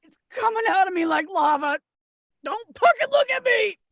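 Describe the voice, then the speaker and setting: Woman playing a very high-voiced desperate bride. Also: lively intonation, moderate pace, fairly bright tone, no echo, studio quality, full character voice. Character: desperate, mortified bride-to-be in a gross-out comedy. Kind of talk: comedy screenplay table read.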